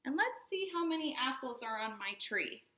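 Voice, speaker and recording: A woman hosting a storytime for young children, speaking in simple explanatory phrases with an animated, sing-song delivery. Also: sits high, moderate pace. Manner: animated, exaggerated questions and exclamations for young children. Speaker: children's librarian and storytime host.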